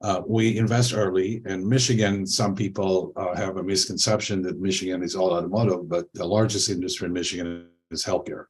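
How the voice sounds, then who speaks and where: A man from Israel speaking as a panel guest over a video call, relaxed and conversational with many fillers. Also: low-pitched, fairly quick, few pauses, husky, low-quality recording. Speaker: panel guest, angel investor.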